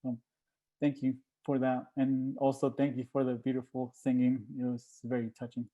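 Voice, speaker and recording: A man giving heartfelt, informal remarks to a group in a halting, reflective way. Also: medium pitch, monotone, dark tone, highs cut off. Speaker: monologue writer and panel participant.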